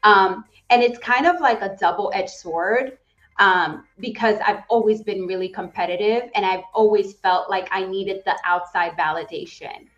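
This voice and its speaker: A woman in her thirties from the Dominican Republic, whose first language is Spanish, speaking as an interview guest in an earnest, animated way with frequent "right?" and "um". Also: mid-range voice, lo-fi sound. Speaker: guest, real estate executive. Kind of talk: casual interview conversation.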